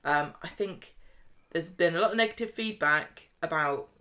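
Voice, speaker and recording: A woman with a fairly low voice co-hosts a casual podcast. She talks informally, wandering through her thoughts as she discusses television drama. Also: moderate pace, bright, forceful tone. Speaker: podcast co-host. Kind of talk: casual chat about a TV soap.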